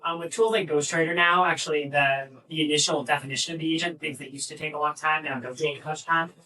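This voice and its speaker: A man with a bright, forward voice pitched a little high, recorded from a distance at a live event. He speaks as a panelist, explaining things in a halting, conversational way. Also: fairly even intonation. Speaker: panelist, AI agent engineer. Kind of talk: fireside chat answers. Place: live fireside chat event. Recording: distant mic, poor event audio.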